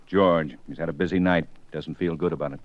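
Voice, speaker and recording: An actor playing a dry, terse police lieutenant in a 1950s radio drama. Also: male voice, extremely low pitch, steady tone, brisk, slight background noise. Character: gruff male police lieutenant, dry and calm. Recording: dry, dull old radio broadcast.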